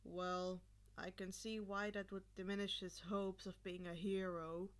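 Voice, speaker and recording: A woman voicing a nonchalant, reserved husband in a story, with a deadpan, low-key delivery. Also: a little slow, lightly acted.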